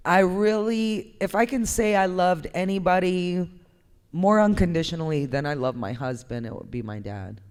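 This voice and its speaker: A woman artist giving a candid, conversational talk, speaking in an informal, emphatic and expressive way. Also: lowish voice, moderate pace, clear, resonant tone. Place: indoor gallery talk, reverberant room. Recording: echoey hall with long reverb.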